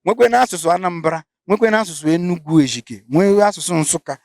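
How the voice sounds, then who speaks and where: A Nigerian man with an Igbo accent, hosting a field interview and talking in a lively way, mixing Igbo and Pidgin. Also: sits high, quick pace, vocal fry, no room sound, clean studio sound. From Imo State, Nigeria. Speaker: interviewer and show host.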